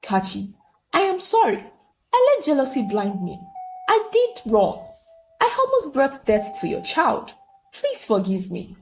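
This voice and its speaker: A woman's voice: sits high, huge pitch swings, unhurried, a little mellow, faint music behind, exaggerated voice. A woman storyteller voicing a remorseful, jealous young village woman begging forgiveness in a folktale.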